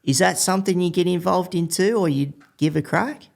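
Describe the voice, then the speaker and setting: A man's voice: high-pitched, fairly quick, vocal fry. An Australian man hosting a casual chat, asking questions in an informal, blokey way with plenty of slang. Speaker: podcast host.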